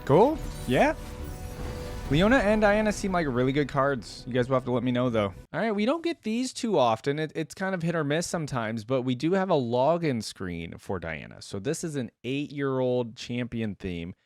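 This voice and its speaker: A man's voice: medium pitch, sing-song, moderate pace, soft background music. A man hosting a casual reaction video, informal and thinking aloud as he comments.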